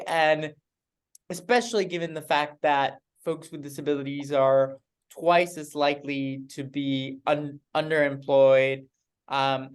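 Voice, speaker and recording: A man's voice: a little high, clean tone. A man from Mexico introducing himself on a panel, upbeat and repeating words as he goes.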